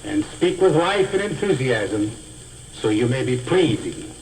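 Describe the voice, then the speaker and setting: Male instructor for a vintage teaching film: didactic, deliberate, lively pitch swings, light hiss on the track. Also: low-quality recording. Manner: deliberate, carefully enunciated, didactic. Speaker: speech teacher. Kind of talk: scripted instructional film lecture. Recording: hissy old educational film soundtrack.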